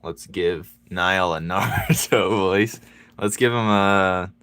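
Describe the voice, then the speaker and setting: A man chatting casually with his viewers while he draws, playful and quick to laugh as he banters with them. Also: low voice, a bit bright, clean tone, no echo. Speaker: streaming artist. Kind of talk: casual chat while drawing.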